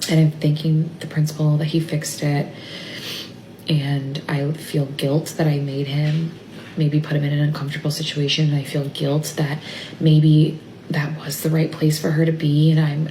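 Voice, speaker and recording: A mother speaking in a halting, tearful confessional, often trailing off and dropping to a whisper. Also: low-pitched, warm tone. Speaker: mother sharing a personal update. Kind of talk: emotional confessional monologue. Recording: echoey room with faint ambient noise.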